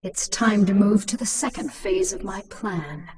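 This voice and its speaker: A ruthless, scheming queen of an alien swarm, acted by a woman for a video game. She gives commands coldly, at an ordinary female pitch. The voice sits back from the mic, with crowded noise behind it. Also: a little slow, distant mic, a crowd in the background, natural voice. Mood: commanding, calculating. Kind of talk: dramatic video game voice acting.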